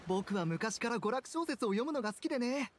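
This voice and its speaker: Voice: medium pitch, animated, a little fast, a little hiss or hum, lightly acted. A performer voicing a mild, enthusiastic young male bookworm in a video game, musing casually and earnestly. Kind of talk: scripted video game dialogue.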